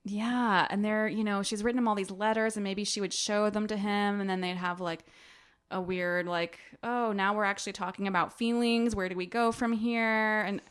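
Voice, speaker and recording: A woman's voice: medium pitch, steady tone, normal speed, clear, resonant tone. An American woman co-hosting a podcast, talking casually about books with a friend. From United States. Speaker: podcast co-host. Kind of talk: casual book discussion chat.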